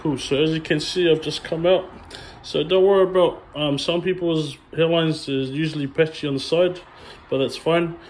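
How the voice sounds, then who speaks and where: A young man, a barber, talking through a haircut tutorial in a relaxed, plain way with frequent ums. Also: a little high, moderate pace, slight background noise.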